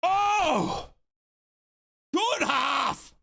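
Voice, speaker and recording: A man from Australia streaming a football watchalong, cheering and yelling excitedly, his voice hoarse from shouting. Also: very high-pitched, extremely slow. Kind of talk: excited fan commentary.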